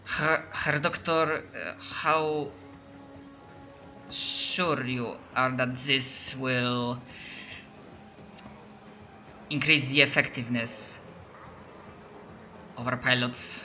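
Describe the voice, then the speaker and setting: A strict but grandfatherly older German mentor of young pilots in a tabletop game, as a man plays him. He asks his questions earnestly and formally, at an unhurried pace. His tone stays bright and sharp. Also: mid-range voice, steady tone, faint music behind. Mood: concerned.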